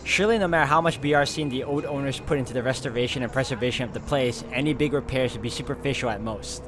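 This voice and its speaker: A man hosting a game walkthrough, reading story narration aloud in an expressive, conversational way with casual asides. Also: mid-range voice, fast, background noise. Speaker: video game walkthrough host.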